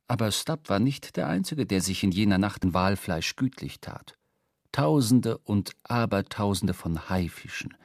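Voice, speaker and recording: A man narrating a literary sea tale for a radio drama, measured, with dramatic emphasis. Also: fairly low pitch, dry recording, studio quality.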